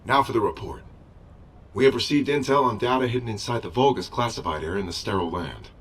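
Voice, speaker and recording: Low-voiced, loyal military adjutant played by a man. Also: distant mic, a little hiss or hum, natural voice. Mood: formal, dutiful. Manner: formal, respectful, measured. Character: loyal, earnest male military adjutant, deferential and dutiful. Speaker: military adjutant character in a video game. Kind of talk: scripted game dialogue.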